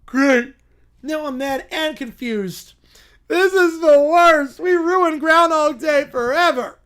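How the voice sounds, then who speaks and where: A woman's voice: sits high, slow, fairly bright tone, voice pushed up. A woman voicing whiny, bratty, weepy small children in a cartoon-style skit, full of petulant complaint. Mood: upset, indignant.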